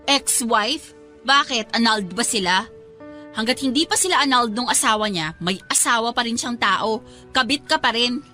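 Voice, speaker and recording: A woman's voice: on the high side, animated, normal speed, sharp tone, faint music behind. An actress playing a responsible, exasperated young woman in a radio drama, reproachful and emphatic.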